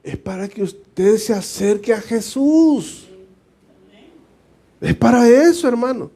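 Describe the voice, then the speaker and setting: A man's voice: very high voice, animated, slow, warm tone. A man from El Salvador with a Salvadoran accent, giving an informal, conversational sermon as a pastor in a colloquial, joking manner. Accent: Salvadoran Spanish. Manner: colloquial and joking, often chuckles and acts out short dialogues. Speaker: pastor giving a Bible study.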